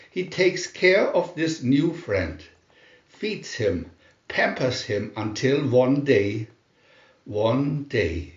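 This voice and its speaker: A man narrating a scripted children's storybook in a deliberate, expressive storytelling manner. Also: fairly high pitch, reverberant, highs cut off.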